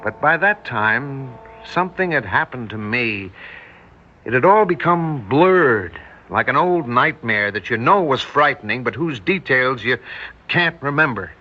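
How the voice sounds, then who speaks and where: A man's voice: mid-range voice, lively intonation, a little slow, lo-fi sound. An actor playing a frightened man who narrates his story in an old radio drama. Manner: tense, shaken, first-person narration. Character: male narrator-hero, frightened radio engineer. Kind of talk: 1960s radio drama acting.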